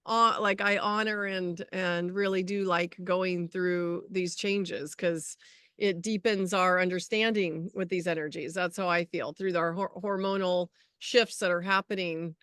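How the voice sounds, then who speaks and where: A woman's voice: on the low side, fairly even intonation, a little slow, a bit bright, clear, resonant tone, dry recording, clean studio sound. A middle-aged woman co-hosting a spiritual talk show in a casual, effusive, agreeable chatting style. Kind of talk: casual chat.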